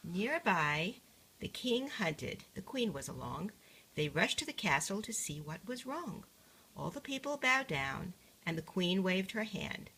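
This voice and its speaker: Creaky older woman reading a storybook. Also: mid-range voice, sing-song, a little slow, sharp tone, low-quality recording. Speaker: storyteller, grandmother reading aloud. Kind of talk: rhyming picture-book narration.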